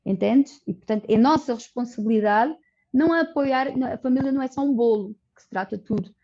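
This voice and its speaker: A woman's voice: fairly high pitch, fairly quick, dark tone. A woman with a European Portuguese accent, speaking as a guest on a podcast about her community project, earnest and explanatory, often restarting her phrases. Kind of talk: conversational podcast interview. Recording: dull, muffled audio.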